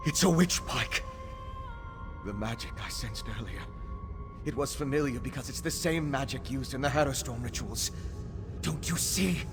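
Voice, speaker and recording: An earnest scholarly mage over music. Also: male voice, medium pitch. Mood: alarmed realization. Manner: absorbed, thinking aloud, urgent explanations. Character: earnest scholarly young male mage investigating dark rituals. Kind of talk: video game voice acting. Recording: game music behind the voice.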